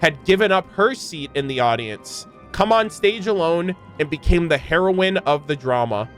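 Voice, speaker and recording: Man, slightly high pitch, casual reaction commentary, reads lore aloud, rambling, hyperbolic, music in background. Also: fairly bright tone. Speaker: YouTube reaction creator.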